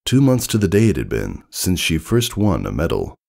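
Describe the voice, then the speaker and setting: Guy narrating a story, really low voice w lots of fry, super animated intonation. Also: moderate pace. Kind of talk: expressive scripted story narration.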